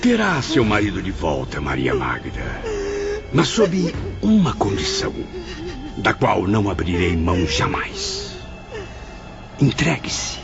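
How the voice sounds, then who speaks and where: An actor playing a cruel, gloating villain obsessed with love, theatrical and mocking in an audio drama. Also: male voice, lowish voice, wildly swooping pitch, slow pace, exaggerated voice. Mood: bitter, menacing. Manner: theatrical, mocking, swinging between menace and pleading. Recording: dull lo-fi, music behind.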